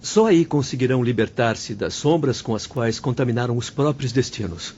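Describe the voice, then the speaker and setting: A man's voice: medium pitch, expressive, moderate pace, darkish tone, close to an everyday voice. A man playing an earnest, learned spirit guide in a Brazilian audio drama, explaining formally in a Brazilian accent. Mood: grave, explanatory. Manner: formal, didactic. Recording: muffled, faint noise.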